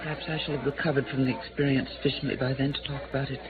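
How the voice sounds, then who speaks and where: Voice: medium pitch, flat delivery, racing, natural voice. A performer playing a weary, haughty society woman with formal, dismissive phrasing in a 1950s radio drama. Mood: weary, evasive. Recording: tinny old radio, crowd chatter behind.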